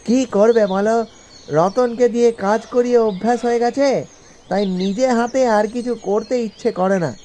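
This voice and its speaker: A man's voice: very high voice, steady tone, moderate pace, mellow, slight background noise. A man voicing a fretful elderly husband and father who leans on others, in a cartoon. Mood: fussy, demanding. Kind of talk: cartoon dialogue.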